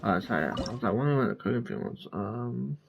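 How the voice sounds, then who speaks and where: A man's voice: mid-range voice, expressive, fast talker, vocal fry, soft background music. A man giving casual gameplay commentary, rambling and hesitant as he plays. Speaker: game streamer playing along. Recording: dull lo-fi mic.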